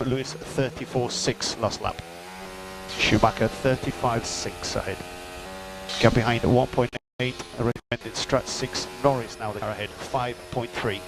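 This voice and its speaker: A man speaks as a race engineer over team radio, in a medium-pitched voice. He gives short, matter-of-fact technical updates in clipped phrases. A clear layer of hum and mechanical noise sits behind him.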